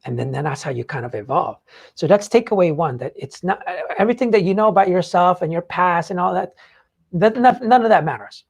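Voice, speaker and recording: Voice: medium pitch, lively intonation, a little fast, vocal fry, breathy edge. A podcast host talking casually and emphatically in a rambling way, swearing freely while explaining ideas. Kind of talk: casual talk explaining book takeaways.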